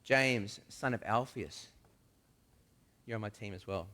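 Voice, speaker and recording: A man speaking as a gentle, patient teacher. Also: lowish voice, a little slow, in a large hall. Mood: summoning. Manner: soft, patient, reassuring. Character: calm gentle man calling followers. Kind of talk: dramatic first-person monologue.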